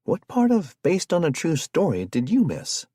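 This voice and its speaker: A man doing an excitable geeky young guy. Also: normal speed, no echo, clean studio sound. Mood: theatrical. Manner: rambling, high and theatrical.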